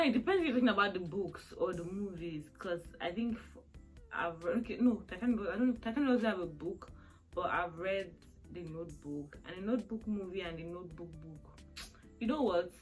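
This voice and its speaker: A woman's voice: lowish voice, expressive, a little fast, faint music behind. A woman in her twenties chatting through a question-and-answer vlog, rambling and laughing often. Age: 20s. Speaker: vlogger. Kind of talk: casual Q&A chat.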